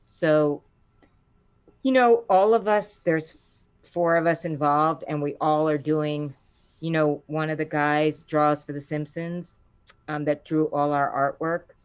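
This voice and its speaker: A middle-aged woman with a low voice, a voice actor chatting in an interview and acting out the quotes in her stories. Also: takes it slowly, clear, resonant tone, dull audio. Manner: animated storyteller, acts out quotes with rising pitch. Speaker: voice actor guest. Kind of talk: casual interview chat.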